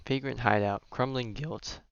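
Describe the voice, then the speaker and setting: A man's voice: mid-range voice, fairly even intonation, normal speed, vocal fry, a bit bright, clear, resonant tone, highs cut off. A man giving casual live gameplay commentary as a streamer, slangy and rambling as he thinks aloud. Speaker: game streamer.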